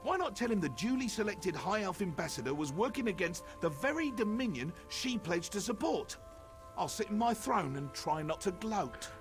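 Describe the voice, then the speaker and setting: An actor playing a smug, theatrical high elf king in a fantasy video game, arch, droll and regal. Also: very animated, moderate pace. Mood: smug amusement. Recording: game music behind the voice. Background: game music.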